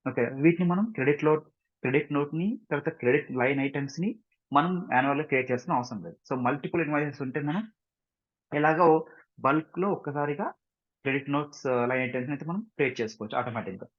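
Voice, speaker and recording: A man with a Telugu Indian accent speaks very quickly in a dull, lo-fi recording. He sounds like an instructor walking through software informally, dropping English technical terms into his speech and pausing for brief check-in questions. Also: on the high side. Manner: often checks understanding with short tag questions. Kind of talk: informal screen-share tutorial.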